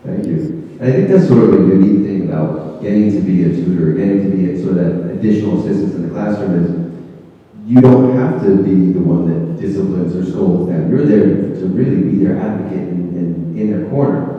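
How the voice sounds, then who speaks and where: Male panel moderator, very low voice, distant and muffled. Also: fairly quick. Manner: hesitant, restarts often. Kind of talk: panel discussion. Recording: echoey hall, far from mic, dull.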